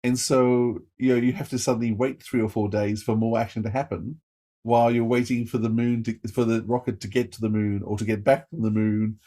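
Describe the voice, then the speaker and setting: A man co-hosting a podcast, giving a casual, enthusiastic review in a halting, effusive way. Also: mid-range voice, level tone, warm tone.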